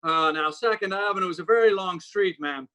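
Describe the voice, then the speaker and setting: A man's voice: sits high, a little fast, sharp tone. Man as weary police sergeant, forties radio drama, dismissive, patronizing, hesitant placating delivery. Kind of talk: 1940s radio drama acting.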